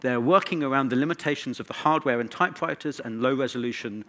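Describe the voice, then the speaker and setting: A man speaking as a conference presenter in a technical talk, with a wry, opinionated manner and emphatic pauses. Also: medium pitch, sharp tone.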